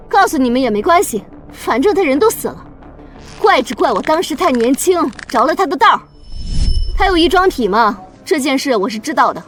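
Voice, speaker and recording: A bitter, callous mother in an animated drama. Her high voice swings widely as she snaps and sneers, with music faintly behind her. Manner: scornful, snapping.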